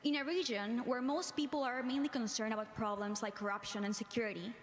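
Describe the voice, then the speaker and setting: A woman's voice: a little high, fairly even intonation, quick pace. A young woman from Mexico, a student, politely asking a question in a university hall. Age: young adult. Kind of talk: audience question. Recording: roomy hall with background noise.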